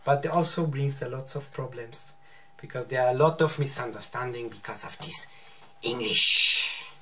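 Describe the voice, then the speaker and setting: Swiss German man speaking English haltingly. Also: medium pitch, pushed and bright, slight background noise. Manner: hesitant, searching for words, repeats himself. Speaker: husband guest. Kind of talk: casual chat. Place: small bedroom, indoors.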